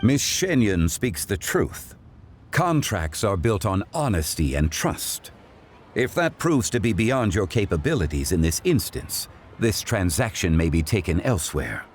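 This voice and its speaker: A man voicing a calm, learned, courteous consultant in a video game, speaking formally and eloquently in measured phrases. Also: on the low side, a little hiss or hum. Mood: firm.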